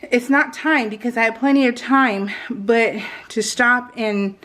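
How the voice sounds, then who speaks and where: A woman's voice: on the high side, a little slow, fairly bright tone. A woman chatting casually and ramblingly to her viewers as a craft video host, in a giggly, self-deprecating manner. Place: indoors at home, voice-over recorded while crafting.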